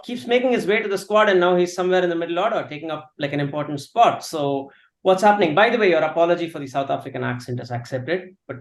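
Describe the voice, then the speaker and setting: A man's voice: a little high, fast, roomy sound. A man co-hosting a casual cricket chat, wry and mock-formal in how he phrases things. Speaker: co-host.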